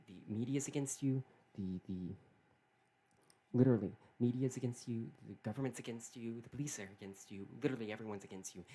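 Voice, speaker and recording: A man who is not British, talking alone in an earnest, halting way, often restarting and repeating his phrases. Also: medium pitch, warm tone, slight background noise, poor audio. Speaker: political commentator. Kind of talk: solo opinion monologue.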